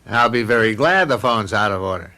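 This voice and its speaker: An American actor playing a wry, impatient suburban husband in a radio drama, talking conversationally with exasperation. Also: male voice, on the low side, animated, fairly quick, bright, forceful tone, slight background noise. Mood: puzzled, wry.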